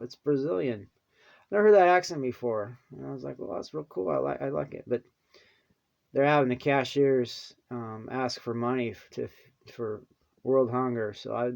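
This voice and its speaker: A man talks to his viewers in a loose, rambling monologue. He restarts often and trails off into soft mumbles, then brightens again. His voice sits at a middle pitch. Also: creaky. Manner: halting, many restarts and fillers, mumbles softly then perks up. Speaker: vlogger.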